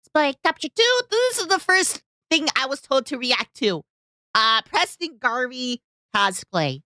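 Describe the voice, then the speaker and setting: A woman streamer chatting casually with her viewers, her voice hoarse, bubbly and talkative. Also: high voice, big pitch swings, unhurried, pushed and bright, no room sound. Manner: bubbly, talks to chat, hums tunes. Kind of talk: casual livestream reaction chat.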